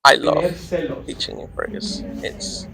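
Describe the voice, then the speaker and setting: A man whose first language is Spanish, a teacher answering interview questions in a relaxed, rambling way that trails off. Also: sits high, a little slow, creaky, crowd noise.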